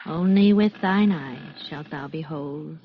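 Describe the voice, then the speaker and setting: A woman's voice: low-pitched, slow pace, slight background noise. An actress playing a self-righteous, scolding older mother in a radio drama, preaching in judgment. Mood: solemn, judging. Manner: preachy, reciting scripture in judgment. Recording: dull band-limited radio drama recording.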